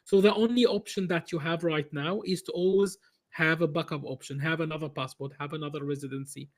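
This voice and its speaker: A man answering interview questions in a halting way, with many restarts and repeated words. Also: a little high, fairly even intonation. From Egypt. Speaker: business consultant guest.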